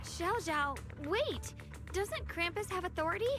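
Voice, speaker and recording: A young game heroine, high and very expressive in pitch. She is earnest and resolute and takes her time, with music behind her. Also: unhurried. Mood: alarmed, determined. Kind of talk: video game voice acting.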